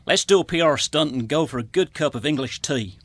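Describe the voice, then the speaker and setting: A man's voice: fairly high pitch, lively intonation, brisk, a bit bright, no echo. A man playing a dim, breezy American president in a radio comedy.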